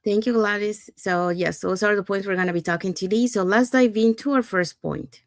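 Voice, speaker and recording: A woman's voice: medium pitch, moderate pace, no room sound, clean studio sound. A woman from Panama hosting a livestream panel talk, leading the conversation in an upbeat way with fillers. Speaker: host.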